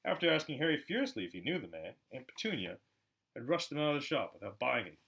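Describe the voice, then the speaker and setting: A man reading a storybook aloud as an amateur narrator, in an expressive style. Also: medium pitch, fast talker, vocal fry, slightly rough. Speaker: amateur audiobook reader.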